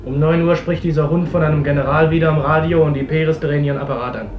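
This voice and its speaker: Radio drama: sullen teen fisher lad played by a man, highish voice, fast, roomy old recording. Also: fairly even intonation, faint music behind, close to an everyday voice. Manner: sullen, defiant. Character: defiant, hot-headed fifteen-year-old fisher boy. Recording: muffled roomy old recording, faint hiss.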